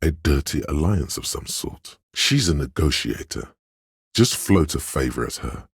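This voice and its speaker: An actor playing a smooth, sly inner voice of persuasion in a video game, narrating in a gravelly bass with a measured, insinuating delivery. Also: male voice, expressive, no room sound, clean studio sound, full character voice. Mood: scheming, suggestive. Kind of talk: scripted second-person game narration.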